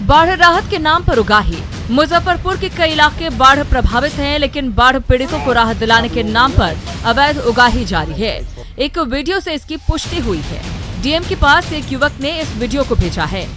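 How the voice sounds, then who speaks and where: Woman news reporter voiceover, highish voice, music pretty loud behind her. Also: animated, moderate pace. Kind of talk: scripted news narration. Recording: loud music bed under voice.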